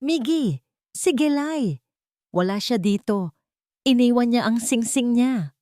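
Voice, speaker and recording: A woman's voice: fairly high pitch, expressive, slow, dark tone, no echo, studio quality, voice pushed up. A woman voicing a panicked, arrogant rich husband in an audio love story, urgent and distraught. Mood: desperate.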